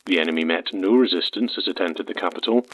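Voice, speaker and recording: An actor playing a formal 1940s radio newsreader in an audio drama, clipped, through an old radio effect. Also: male voice, low voice, fast talker, lo-fi sound. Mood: grave, formal. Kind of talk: 1940s radio news bulletin. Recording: noisy old wartime radio broadcast.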